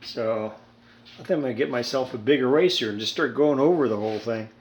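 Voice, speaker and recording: A man's voice: mid-range voice, a little fast, poor audio. A man in his seventies giving a casual, rambling, folksy hands-on repair demonstration with dry humor. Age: 70s. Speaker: hobbyist radio restorer. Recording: steady background noise, homemade video.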